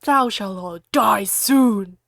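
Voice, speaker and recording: A woman voicing a pompous, gloating male dark wizard who makes slow, theatrical threats in archaic speech. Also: mid-range voice, creaky, dry recording, studio quality. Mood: gloating menace.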